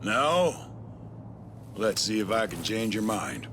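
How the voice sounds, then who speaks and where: An actor playing a sadistic, taunting renegade soldier with a menacing, mocking drawl in a video game cutscene. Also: male voice, lowish voice, slow, background noise. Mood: mocking menace. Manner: menacing, mocking drawl, swinging from calm to shouting.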